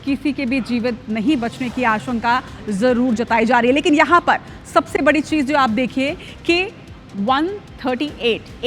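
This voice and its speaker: High, bright-voiced woman news anchor. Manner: urgent, emphatic, repeats key points. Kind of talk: live breaking news presentation.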